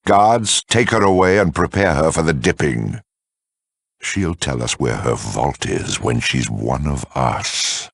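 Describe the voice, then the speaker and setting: An actor voicing a cruel, urbane super mutant lieutenant in a video game, mock-courteous, sardonic and theatrical, with a gravelly, raspy voice. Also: male voice, very deep voice, fairly bright tone, no room sound, clean studio sound, full character voice. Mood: commanding, smug. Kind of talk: video game villain dialogue.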